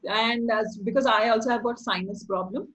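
A middle-aged woman with a slightly raised pitch and a faint nasal quality. She explains exercises patiently as a podcast guest and slips in playful jokes. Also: steady tone, a little fast, fairly bright tone. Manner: instructive, playful, laughs often. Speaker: podcast guest, voice-over artist. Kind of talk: casual interview.

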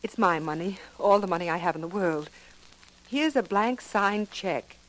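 A woman's voice: on the low side, expressive, moderate pace, close to an everyday voice, poor audio. A woman playing a genteel, anxious wealthy wife in 1940s radio drama, earnest, formal and hesitant. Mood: anxious, pleading.

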